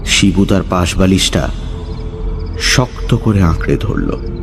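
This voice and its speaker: A man's voice: sits low, animated, slow, music in the background. A man narrating a suspense horror story from a script in a dramatic storytelling style.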